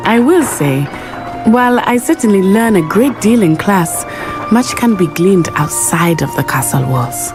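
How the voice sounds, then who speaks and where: Woman doing a adventurous teen witch girl for a video game, very expressive pitch, formal wording, music behind. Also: lightly acted. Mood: warm, reflective. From grew up abroad, in a land without snow. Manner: formal, careful phrasing with few contractions. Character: friendly, adventurous teenage schoolgirl witch, eager guide.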